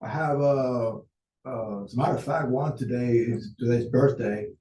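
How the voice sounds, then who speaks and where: A man's voice: medium pitch, normal speed, dark tone, echoey room, a little hiss or hum, low-quality recording. Hesitant Venezuelan-born man on a video call. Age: 40s. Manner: hesitant, many fillers. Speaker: client on a sales call. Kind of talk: casual conversation.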